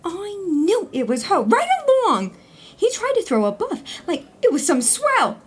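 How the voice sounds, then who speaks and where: A woman's voice: very high voice, huge pitch swings, measured pace, exaggerated voice. A woman playing a touchy, jealous newlywed wife in a 1910s New York comedy audio play, talking in a dramatic, slangy way. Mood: scandalized. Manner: dramatic, slangy, easily indignant.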